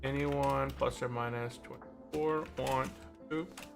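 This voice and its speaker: A man's voice: mid-range voice, fairly even intonation, takes it slowly, vocal fry, over music. A man chatting casually on a gaming livestream, rambling and thinking aloud as he plays. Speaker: livestream host, gamer.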